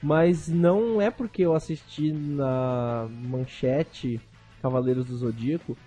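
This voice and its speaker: A young man from Brazil hosting a casual podcast chat, talking in a relaxed, slangy way and drawing out points for emphasis. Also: medium pitch, takes it slowly, slightly rough. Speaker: podcast host. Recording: faint music behind.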